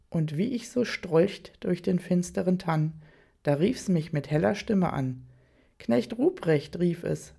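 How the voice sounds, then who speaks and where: A man's voice: on the high side, gravelly, dark tone, full character voice. Narrator doing a cheerful man reciting verse. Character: cheerful male colleague reciting a Christmas poem.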